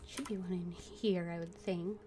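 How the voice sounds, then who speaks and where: A woman's voice: fairly low pitch, steady tone, moderate pace. A woman game streamer giving casual commentary and voicing her dialogue choices aloud as she plays.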